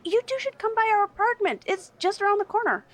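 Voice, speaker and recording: Young female student, played by an actress: eager, chatty, high-pitched with big swings, audio drama. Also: expressive, fast talker, a crowd in the background. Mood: friendly, curious. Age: young adult. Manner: chatty, friendly. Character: friendly, eager young woman art student.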